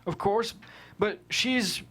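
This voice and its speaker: A proud, stubborn male cattleman acted by a man at a table read, blunt and folksy in manner. His voice runs slightly high for a man, in a scripted drama style. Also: slow pace, a bit bright. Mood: indignant.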